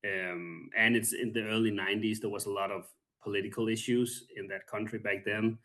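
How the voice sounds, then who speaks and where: Danish-accented man, calm and low. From Denmark. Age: 30s. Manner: calm, soft-spoken, halting with many pauses. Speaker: guest. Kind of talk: casual interview.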